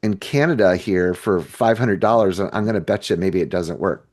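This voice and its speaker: A man's voice: deep voice, moderate pace, darkish tone. A middle-aged American man co-hosting a casual discussion, speaking informally as if thinking aloud. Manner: informal, conversational, thinking aloud while browsing listings. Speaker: podcast co-host.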